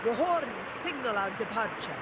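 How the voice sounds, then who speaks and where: A woman's voice: on the high side, expressive, normal speed, heavy background noise, close to an everyday voice. An actress playing a confident, noble woman war leader in a video game, with a grand and rousing manner. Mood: commanding, inviting.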